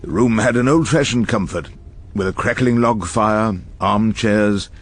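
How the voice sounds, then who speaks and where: Husky, wry old English barrister. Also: male voice, on the low side, faint music behind. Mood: wry, measured. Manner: pompous, ornate, deliberate phrasing. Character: irascible elderly male English barrister, witty, wry narrator. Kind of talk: radio drama acting and wry first-person narration.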